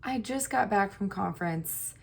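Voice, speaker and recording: A woman with a hoarse, partly lost voice, chatting casually and laughing at herself while doing her makeup on camera. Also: mid-range voice, animated, normal speed. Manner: chatty, self-deprecating, laughs often. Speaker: beauty YouTuber. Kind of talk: casual get-ready-with-me chat.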